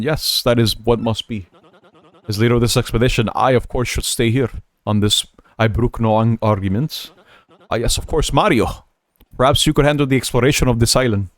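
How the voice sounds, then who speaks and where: A man's voice: medium pitch, brisk, dry recording, close to an everyday voice. A man voicing a vain, self-important male adventurer, speaking in a grand, theatrical way. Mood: pompous.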